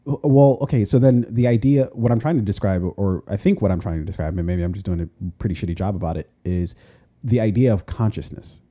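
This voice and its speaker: Dark-voiced man rambling on a podcast. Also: on the low side, quick pace. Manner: rambling, profane, restarts often. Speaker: podcast co-host. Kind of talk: casual chat.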